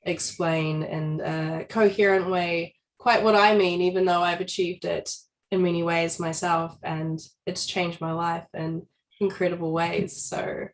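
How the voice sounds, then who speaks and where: A woman's voice: lowish voice, steady tone, a little slow, low-quality recording. A woman co-hosting an interview, talking casually and thoughtfully, sometimes searching for the right words. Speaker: co-host. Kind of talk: casual conversational chat.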